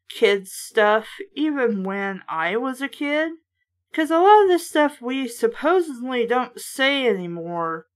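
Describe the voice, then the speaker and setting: Casting: woman book critic, higher-pitched voice, sardonic and sweary, moving between read-aloud and offhand remarks. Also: a bit bright. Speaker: host reading and critiquing a children's book. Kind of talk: read-aloud with sarcastic casual commentary.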